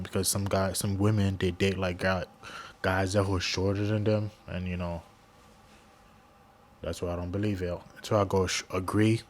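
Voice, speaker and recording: A man with a very deep voice reacts to a video in loose, casual talk. He rambles and keeps restarting his sentences, and his delivery stays low and monotone. Faint noise hums under the recording.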